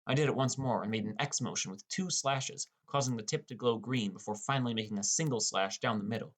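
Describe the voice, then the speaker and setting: A man reading a first-person fantasy story as an audiobook narrator. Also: fairly bright tone. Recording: rumbly background noise.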